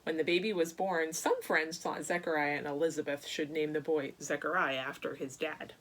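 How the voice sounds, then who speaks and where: A woman with a slightly low voice tells a story to young children. She speaks in an animated, simple way, addressing her listeners directly like a Sunday school storyteller. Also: normal speed, poor audio. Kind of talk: read-aloud children's Bible story and chat to kids.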